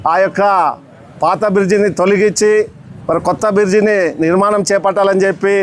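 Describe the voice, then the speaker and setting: A man giving a formal public address as an activist leader, speaking in long run-on sentences. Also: sits high.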